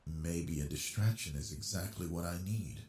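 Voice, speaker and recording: A man plays an obsessive yandere charmer who hides something dark under a smooth manner. His voice sits low, mellow and husky, and he takes his time over every word, close on the mic. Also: moderate pace. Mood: brooding. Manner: quiet brooding inner monologue, then smooth flirty charm. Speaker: audio roleplay performer. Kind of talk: scripted audio roleplay.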